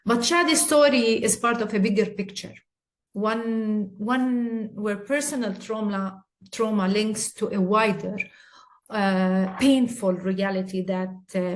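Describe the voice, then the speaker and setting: Middle-aged Palestinian-accented woman, slow careful delivery, webinar guest. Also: medium pitch. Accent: Palestinian Arabic. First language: Arabic. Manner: slow, halting, many fillers. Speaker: guest speaker, mother. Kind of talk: webinar testimony.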